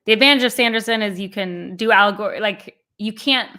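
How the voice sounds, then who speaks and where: Bright-voiced chatty woman host. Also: mid-range voice, fairly quick. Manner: chatty, rambling with many fillers and restarts. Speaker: booktube host. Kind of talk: casual book discussion.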